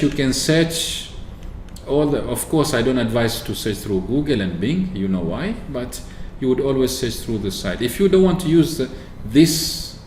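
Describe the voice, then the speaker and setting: A man from Oman chatting casually through a software walkthrough, explaining with fillers and restarts. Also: mid-range voice, a little hiss or hum. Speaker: tech video host. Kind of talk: screen-recorded software walkthrough. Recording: echoey room with faint ambience.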